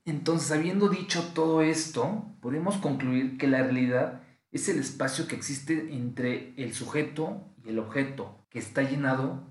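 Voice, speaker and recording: A man's voice: mid-range voice, darkish tone. Mexican man musing aloud in a roomy space. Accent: Mexican Spanish. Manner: measured, poses rhetorical questions and answers them. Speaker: podcast host. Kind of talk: reflective philosophical monologue.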